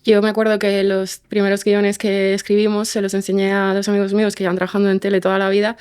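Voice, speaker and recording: Spanish woman from spain chatting in an interview, even pitch, kinda halting, lots of little tag questions. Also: clean tone. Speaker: guest, audio fiction writer and director. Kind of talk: casual interview chat.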